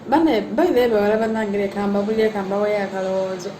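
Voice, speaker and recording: A woman's voice: medium pitch, quick pace, dark tone, reverberant, a little hiss or hum. Giggly woman doing a comic monologue. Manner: giggly, teasing.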